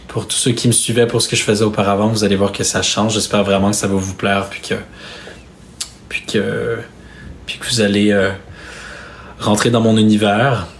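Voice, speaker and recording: A young man from Quebec with a Québécois French accent, chatting casually on a live stream with fans. He speaks softly and hesitantly, with many fillers and restarts. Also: lowish voice, a little hiss or hum. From Quebec, Canada. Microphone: phone or webcam on a live stream.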